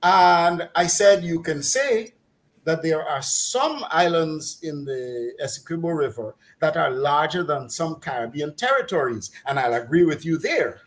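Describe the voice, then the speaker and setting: A man's voice: a little high, expressive, measured pace. A middle-aged man with a Guyanese accent, talking casually in a mocking, exasperated way and laughing now and then as he rants. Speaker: commentator on a talk program. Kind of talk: casual opinionated chat.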